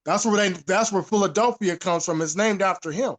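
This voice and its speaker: High-pitched man in casual, emphatic discussion. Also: fairly quick, fairly bright tone. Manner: repeats phrases, emphatic, informal with swearing.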